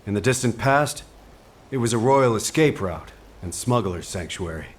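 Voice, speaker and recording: Low-voiced, composed military officer. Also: male voice, lively intonation, moderate pace. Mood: grave, concerned. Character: composed military officer briefing troops, grave and caring. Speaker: mission commander giving briefings. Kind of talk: scripted video game dialogue.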